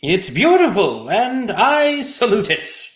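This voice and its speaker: Stiff, dour comic gentleman played by a man, high and swooping voice, slow delivery, echoey room. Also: sing-song, heavily acted. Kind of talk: live cold table read of a stage comedy.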